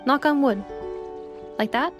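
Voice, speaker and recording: A woman's voice: high voice, unhurried, over music. A woman voicing a polite, literal-minded robot assistant in a video game, formal, precise and composed. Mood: deadpan.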